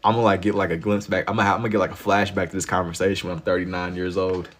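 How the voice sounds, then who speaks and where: Deep-voiced young man chatting on a livestream. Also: little pitch movement, very fast. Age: 20s. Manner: laid-back slang, laughs and gets loud when teased. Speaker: livestream host. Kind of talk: casual chat with viewers while drawing.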